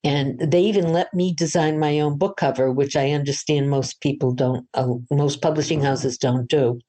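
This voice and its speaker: A woman's voice: low voice, steady tone, normal speed, warm tone. An older woman from Boston, an author, chatting through her interview answers in a storytelling way, with frequent restarts. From Boston, USA.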